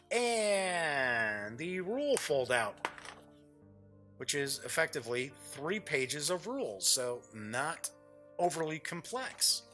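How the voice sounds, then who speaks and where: A man's voice: on the high side, bright, forceful tone. A man hosting a board game show, giving casual unboxing commentary in a chatty way, thinking aloud as he goes.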